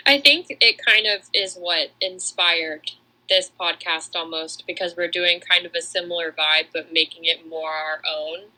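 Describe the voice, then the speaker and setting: A woman co-hosts a podcast in loose, casual conversation. Her voice is very bright and forward, sitting slightly lower than most women's. She is chatty and slightly self-deprecating in how she talks. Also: a little slow, rough recording. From Atlanta, United States. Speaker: podcast co-host. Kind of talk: casual chat.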